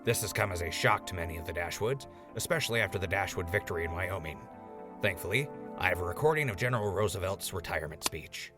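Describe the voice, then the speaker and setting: A man's voice: deep voice, animated, quick pace. A man playing a chipper wasteland radio host, delivering upbeat, wry announcer patter in an audio drama. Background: music.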